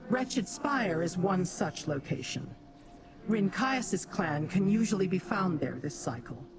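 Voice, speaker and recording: Haughty, animated demon warrior. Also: female voice, lowish voice. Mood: explanatory, resolute. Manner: formal, imperious, deliberate. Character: proud, haughty female demon warrior allied to a mortal. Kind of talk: fantasy game quest dialogue. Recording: clear background noise, game ambience.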